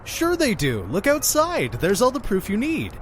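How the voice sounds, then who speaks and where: Mysterious inn's keeper, male, played by an actor: chatty, theatrical, highly animated delivery for audio drama. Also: normal speed, slight background noise. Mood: breezy, insistent. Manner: effusive, talking over his guest.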